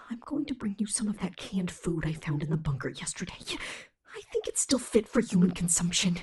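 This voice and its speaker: A woman's voice: on the high side, husky, exaggerated voice. A woman voicing a possessive, lovesick young male killer robot in an intimate, coaxing ASMR roleplay monologue. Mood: soothing, controlling. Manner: slow, intimate, coaxing whisper.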